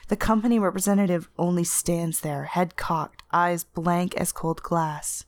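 A woman reading literary first-person fiction as narrator, with an intense, deliberate and expressive dramatic delivery. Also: on the low side, no room sound. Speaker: story narrator.

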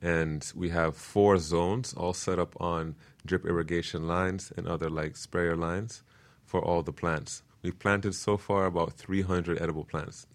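A man's voice: low-pitched, fairly even intonation, unhurried. A man speaking as an expert guest in a casual interview, explaining practical things earnestly and step by step, often checking in with "right?". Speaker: guest permaculture designer explaining his work.

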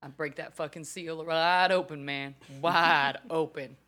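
A chatty Texan woman on a podcast, her voice bright and forward. She laughs easily and drops the odd swear word in relaxed banter. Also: low-pitched, a little slow. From Texas. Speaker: podcast co-host. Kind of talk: casual podcast chat.

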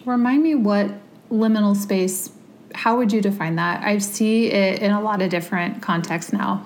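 A woman's voice: medium pitch, normal speed, a little mellow, a little hiss or hum. A woman hosting an interview, chatty and friendly, with self-deprecating asides as she asks her questions. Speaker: interviewer, host. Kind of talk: conversational interview.

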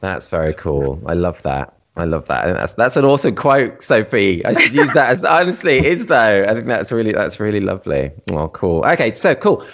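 An effusive man hosts a casual interview, quick to praise and to poke fun at himself. His voice is low yet swings widely in pitch. He sighs and trails off while he thinks. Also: big pitch swings, a bit bright. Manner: effusive, sighing, self-deprecating. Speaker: podcast host.

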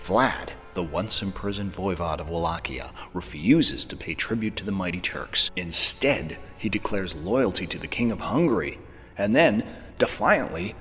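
A man with a very low voice narrates a scripted podcast in a measured, wry way. His voice carries a long reverb tail, and soft music plays underneath.

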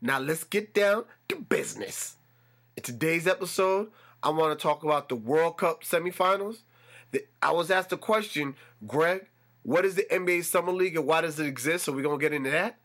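Man, congested, slightly nasal, animated, solo sports podcast host. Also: a little high, brisk. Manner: animated, builds excitement with repetition. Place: room with a fan running.

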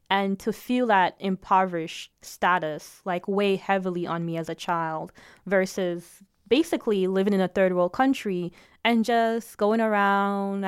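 A woman's voice: mid-range voice, clean tone, dry recording, studio quality. A woman from Jamaica telling personal stories in a reflective, conversational way. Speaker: interviewee telling their story.

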